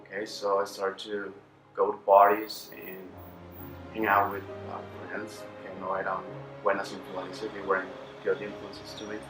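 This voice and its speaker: A man in his twenties from Costa Rica, with a Costa Rican Spanish accent, giving a halting personal testimony with many fillers and slips into Spanish. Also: deep voice, completely flat delivery, reverberant, music in the background, rough recording. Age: 20s. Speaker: testimony speaker.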